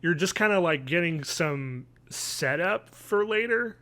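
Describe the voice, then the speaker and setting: A man, chatting casually and haltingly as a podcast co-host from home. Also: on the high side, lively intonation, bright, forceful tone. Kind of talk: casual review chat. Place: home living room, video call.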